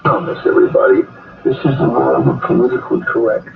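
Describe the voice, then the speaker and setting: A man's voice: mid-range voice, fairly even intonation, fast talker, vocal fry, far from the mic, phone-quality sound, over music. A man hosting a call-in radio talk show, speaking in an emphatic, exhorting, repetitive way, heard over a shortwave broadcast. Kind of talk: impassioned political talk radio monologue with call-in appeals.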